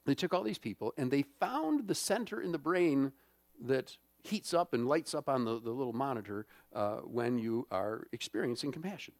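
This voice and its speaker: A man's voice: medium pitch, animated, moderate pace, no echo. A man preaching a sermon in a church, talking in a conversational way and often checking in with the congregation. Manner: conversational, many fillers and check-ins. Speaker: pastor.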